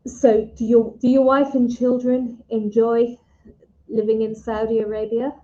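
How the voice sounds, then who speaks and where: A British woman speaks in a fairly high, mellow voice, very slowly and simply, as a tutor encouraging a learner. The style is casual one-to-one lesson talk, heard over a slightly dull online call. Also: flat delivery. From Britain. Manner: slow, simple, encouraging teacherly phrasing. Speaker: English conversation tutor. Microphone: video call. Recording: muffled online video call audio.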